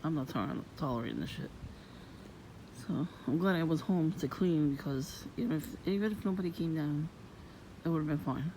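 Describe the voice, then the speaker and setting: A woman with a low voice, vlogging in a soft-spoken, rambling and suspicious way outdoors near her home. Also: animated, normal speed. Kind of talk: casual rambling monologue. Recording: noisy outdoor ambience.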